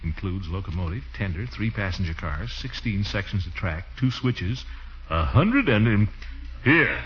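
A man's voice: low voice, big pitch swings, moderate pace. A man playing a jovial, blustery middle-aged uncle in a 1940s radio comedy, with hearty, chuckling delivery. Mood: dismayed. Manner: chuckling, hearty, exaggerated swoops. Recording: noisy, muffled 1940s radio recording.

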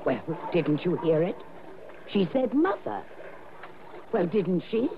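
A high, swooping voice as a proud professor in a vintage radio drama. The manner is imperious and doting, quick to turn exasperated. It comes through a tinny, noisy broadcast recording. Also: male voice, big pitch swings, exaggerated voice. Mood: impatient insistence. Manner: imperious, coaxing, insistent. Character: proud, doting female dolphin-training professor, easily exasperated. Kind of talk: 1960s radio drama acting.

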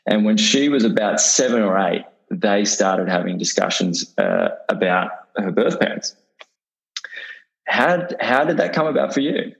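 A man hosting a casual podcast interview, with a fairly low voice. He sounds eager and curious. He often stumbles and restarts his sentences with fillers. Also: animated, gravelly, poor audio. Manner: enthusiastic, halting with fillers and restarts. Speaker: podcast host.

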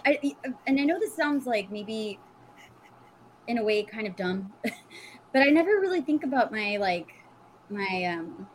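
A woman's voice: on the high side, fairly bright tone, slight background noise, poor audio. Hesitant American woman in casual chat. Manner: hesitant, searching for words, giggly. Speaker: podcaster.

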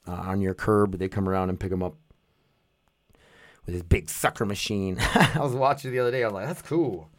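A man's voice: fairly low pitch, no echo, clean studio sound. A young man, rambling casually to his viewers, often restarting and laughing at himself. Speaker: vlogger.

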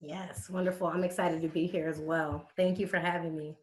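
A woman's voice: on the low side, level tone, fairly quick, a little mellow. A woman from Connecticut, a youth educator, answering interview questions in fluent, conversational storytelling.

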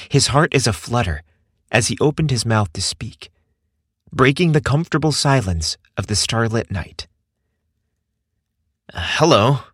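A man with a fairly low voice narrates romantic fiction. He reads from a script in a measured, expressive way. The recording is very dry, with no room sound. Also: dry recording.

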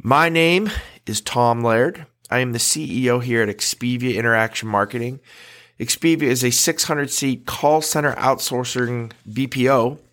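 A man's voice: mid-range voice, normal speed, fairly bright tone. A man hosting a podcast in a casual, conversational way, a little nasal from a cold, with frequent fillers and restarts. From United States. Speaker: podcast host.

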